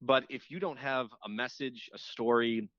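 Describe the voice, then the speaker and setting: A man's voice: mid-range voice, normal speed, fairly bright tone, no echo. A man who hosts radio, chatting casually as an interview guest and often rephrasing himself as he explains. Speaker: radio show host appearing as guest. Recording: dull, muffled lo-fi line.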